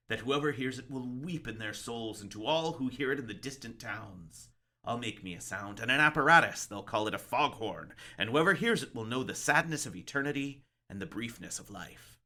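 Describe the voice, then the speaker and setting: A man's voice: medium pitch, animated, moderate pace, pushed and bright. A man voicing a lighthouse keeper in a dramatic story reading, folksy, musing and ominous, like an older storyteller. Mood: brooding, mournful.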